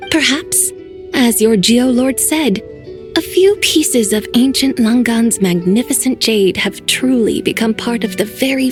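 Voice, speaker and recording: An actress voicing an ancient, aloof female mountain spirit who talks in formal, old-fashioned phrasing with dry teasing. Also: on the high side. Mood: amused. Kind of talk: video game voice acting.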